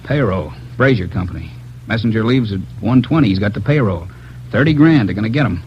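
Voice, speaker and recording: An actor playing a panicky crook turned informant, muttering in short broken bursts in 1940s radio style. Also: male voice, fairly low pitch, lively intonation, brisk, a little hiss or hum, natural voice. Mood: agitated, fatalistic. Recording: muffled vintage radio recording, music behind.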